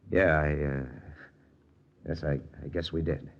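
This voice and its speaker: An actor playing a wry American army lieutenant hunting spies, in a 1940s radio drama with first-person narration. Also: male voice, a bass voice, fairly even intonation, slow. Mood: awkward, hopeful. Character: wry American army lieutenant and spy-hunter, quietly lovesick. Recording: muffled old radio recording, music behind.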